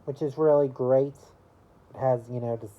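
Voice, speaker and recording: A woman in her early twenties chatting casually to camera about her year, rambling and halting, with lots of "you know" fillers. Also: rumbling low, fairly even intonation, dull audio. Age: early 20s. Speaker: vlogger.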